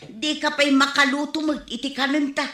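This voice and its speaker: Casting: nagging Ilocano wife, high-pitched, cutting tone, echoey room, comic radio drama. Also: female voice, fairly even intonation, normal speed, a bit bright. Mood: complaining. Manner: nagging, complaining. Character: fed-up, scolding wife of a lazy husband.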